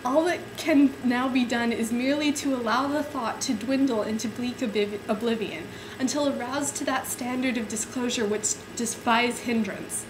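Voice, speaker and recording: A young woman with a high voice reads florid old prose aloud as an amateur. Her delivery is dramatic and giggly, tripping now and then on the long sentences. She is recorded in a room at home with faint noise behind her. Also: steady tone, normal speed, few pauses. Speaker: amateur reader. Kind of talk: dramatic reading of an overwrought novel.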